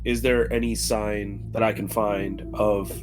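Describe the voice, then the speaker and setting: A man's voice: on the low side, flat delivery, unhurried. A man chatting casually as a player in a tabletop roleplaying game. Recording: music playing behind the voice.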